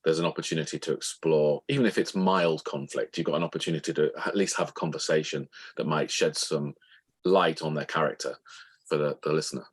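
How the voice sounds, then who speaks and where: A man speaking as a guest author in an interview, explaining things in a thoughtful, halting, conversational way. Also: rumbling low, steady tone, breathy edge.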